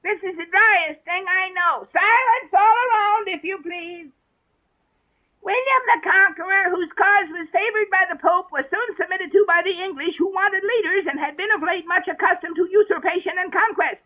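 A man voicing a fussy, self-important male mouse who lectures in a prim tone. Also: moderate pace. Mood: pompous. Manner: prim, lecturing, high squeaky voice. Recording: tinny phone-like audio.

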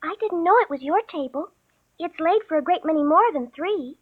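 A woman's voice: very high-pitched, animated, moderate pace. A woman playing a polite, indignant young girl with a prim, precise delivery. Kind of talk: storybook reading. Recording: narrow phone-like sound.

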